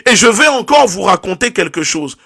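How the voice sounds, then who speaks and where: A man's voice: high voice, very animated, a little fast, gravelly, sharp tone, husky, dry recording, clean studio sound. A man from Togo, delivering an impassioned political monologue and stumbling over repeated words as he searches for them. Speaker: activist.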